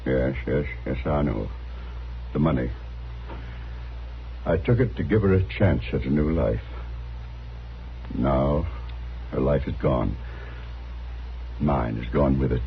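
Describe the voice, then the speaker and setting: A broken, gravel-deep grieving widower. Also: male voice, exaggerated voice. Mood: broken, resigned. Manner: halting, mournful, formally apologetic. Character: broken, remorseful widowed husband, weary and resigned. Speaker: actor in a radio western. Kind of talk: 1950s radio drama acting. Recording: dull vintage radio recording, faint noise.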